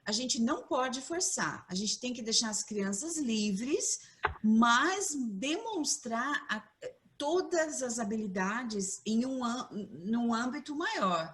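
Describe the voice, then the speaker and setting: A woman's voice: fairly high pitch, animated, slow, fairly bright tone, slight background noise. Earnest mother on a video call. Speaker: mother and webinar panelist. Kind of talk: casual webinar talk.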